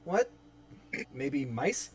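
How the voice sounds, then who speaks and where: A man's voice: medium pitch, very animated, very slow, music in the background. A man voicing a troubled, polite young man carrying guilt, in a dramatic script reading. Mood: light, awkward. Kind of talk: dramatic script table read.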